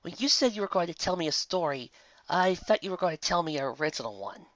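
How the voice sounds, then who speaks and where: A performer voicing an incredulous, easily agitated female unicorn pony with an emphatic, halting delivery in a story reading. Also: medium pitch, lively intonation, fairly bright tone, no room sound, voice pushed up, natural voice. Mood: puzzled. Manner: emphatic, halting when flustered. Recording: slightly dull home recording.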